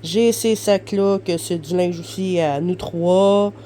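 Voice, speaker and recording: A stuffy, flu-congested woman in her thirties with a Québécois accent talks from her bed in an easygoing, rambling way. Her voice is mellow and unhurried, and faint noise sits under the recording. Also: lowish voice. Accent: Québécois French. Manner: rambling, chatty, frequent fillers. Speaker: vlogger. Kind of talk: casual vlog chat. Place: her bedroom, lying in bed.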